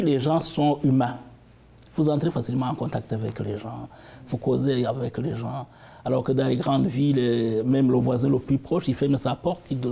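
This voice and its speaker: Guy in an interview, gravelly and husky, dark mellow tone, stops and restarts a lot. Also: mid-range voice. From African Great Lakes region. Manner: thoughtful, halting, with frequent restarts and warm asides. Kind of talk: reflective interview answers.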